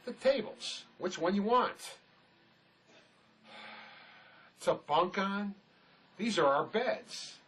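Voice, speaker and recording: A man's voice: high voice, lively intonation, takes it slowly, a bit bright, heavily acted. A man acting as a laid-back, resourceful escaped convict in a drama, speaking in a dry, folksy, matter-of-fact way. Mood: dry, reassuring. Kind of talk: dramatic script performance.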